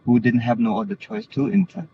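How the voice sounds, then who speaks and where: A man from Denmark speaks earnestly in a middle-pitched voice, pausing and restarting as he explains himself. The recording is slightly dull, with a faint hiss underneath. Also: big pitch swings. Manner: earnest, hesitant with many restarts. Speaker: interviewee. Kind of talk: casual conversation.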